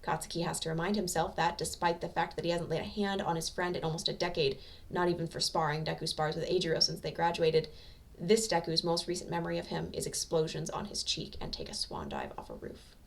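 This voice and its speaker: A woman reading a story aloud as an amateur narrator, with expressive scripted delivery. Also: deep voice, brisk, vocal fry, far from the mic.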